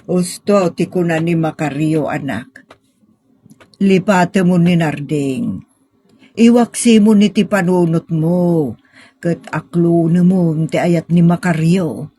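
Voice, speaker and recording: A woman's voice: on the low side, slow pace, warm tone, slightly rough. A woman playing a middle-aged, money-minded mother in an audio drama, coaxing and insisting with her grown daughter. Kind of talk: sentimental audio drama acting.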